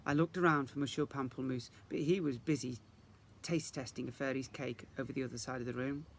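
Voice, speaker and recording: A man reading a children's story aloud in the expressive style of a teacher reading to young children. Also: mid-range voice, a little fast, a little hiss or hum.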